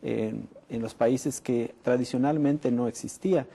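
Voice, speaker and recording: A middle-aged man from Mexico speaks as a public health doctor in a studio interview. His voice is mellow and relaxed at an ordinary pitch, and he explains in a measured way, often pausing with "eh". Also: vocal fry, breathy edge. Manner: measured, frequent "eh" pauses.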